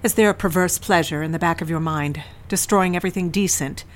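A woman's voice: lowish voice, brisk, natural voice. Cold, controlling mother, played by a woman, middle-aged. Cutting, melodramatic delivery for audio drama. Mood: scornful, accusing. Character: cold, scornful, controlling mother of a grown daughter.

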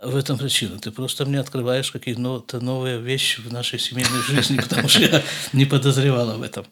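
A middle-aged man, a podcast host, calmly asking his grown son questions in an unhurried family conversation. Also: mid-range voice, noisy background.

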